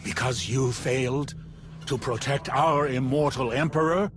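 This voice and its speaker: An actor playing a solemn mystic elder in a video game, speaking formally and gravely as if administering a trial. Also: male voice, mid-range voice, unhurried, background noise, close to an everyday voice. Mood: accusing, solemn. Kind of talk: dramatic video game acting.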